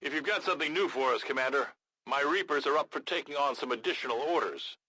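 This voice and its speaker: An actor playing a gruff, blunt, battle-hardened resistance leader in a video game, with a gravelly voice and a measured, grave manner. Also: male voice, medium pitch, pushed and bright, rough recording. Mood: businesslike. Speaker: military faction commander in a video game. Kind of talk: scripted video game voice acting.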